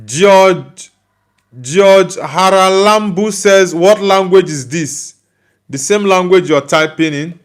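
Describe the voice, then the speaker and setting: A man's voice: high voice, takes it slowly. A young man with a Nigerian accent hosting a live football stream, chatting loosely and reading out viewer comments with many fillers and restarts. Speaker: football channel host reading live chat. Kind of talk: casual live-stream chat.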